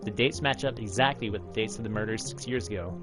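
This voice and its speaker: A man's voice: fairly low pitch, fast talker. An American man in his thirties reading a visual novel aloud in a narrating style. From United States, Alaska. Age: 30s. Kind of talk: dramatic read-aloud narration of game text. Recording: game music behind the voice. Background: game music.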